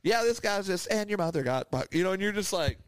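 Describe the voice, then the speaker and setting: A man's voice: high voice, lively intonation, fast, clear, resonant tone, dry recording. A man, co-hosting a podcast, telling a rambling story, laughing often and acting out the people he quotes. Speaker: podcast co-host. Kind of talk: casual storytelling chat.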